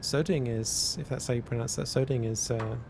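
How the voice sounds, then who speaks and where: A man streaming casually, answering chat and working through math aloud in a halting way that repeats words. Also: steady tone, creaky. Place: cold indoor room. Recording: noisy with a low rumble.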